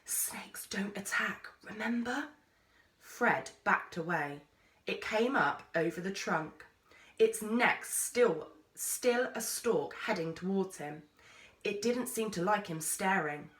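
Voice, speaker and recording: A woman reading a children's story aloud with expressive, measured, dramatic phrasing. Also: mid-range voice. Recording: roomy echo, distant microphone.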